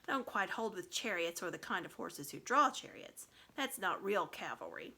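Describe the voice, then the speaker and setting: A woman's voice: mid-range voice, expressive, normal speed, bright, forceful tone, slightly rough, full character voice. A woman voicing a boastful, know-it-all male talking horse, pompous and condescending, in a storybook read aloud. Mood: boastful, airy.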